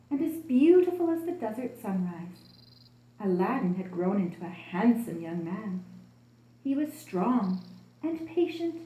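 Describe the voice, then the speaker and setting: A woman telling a children's story as an expressive storybook narrator. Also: fairly high pitch. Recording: roomy, echoing room sound.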